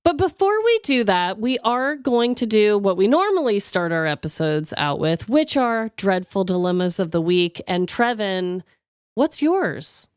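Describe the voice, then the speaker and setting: Smooth-voiced woman, animated in pitch. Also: unhurried, dry recording, clean studio sound. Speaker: podcast co-host. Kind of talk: casual conversational podcast hosting.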